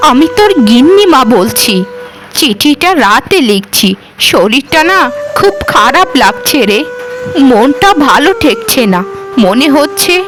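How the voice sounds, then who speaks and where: A woman acts out a motherly elderly mistress in a cartoon. Her voice is gentle and doting, with expressive rises and falls. She speaks tenderly, like family. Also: high-pitched. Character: kindly, motherly elderly lady of the house. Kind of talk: cartoon voice acting.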